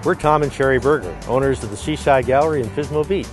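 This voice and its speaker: An older man presents an event as a gallery owner. His voice sits at a middle pitch, and he follows a promotional script over faint music. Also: quick pace.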